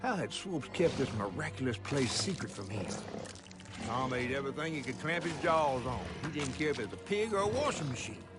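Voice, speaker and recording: An actor voicing a folksy, playful giant catfish storyteller with a Southern US accent and a theatrical, teasing drawl in a video game. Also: male voice, medium pitch, expressive, brisk, natural voice. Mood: wry storytelling. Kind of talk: video game character acting and narration. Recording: loud music behind.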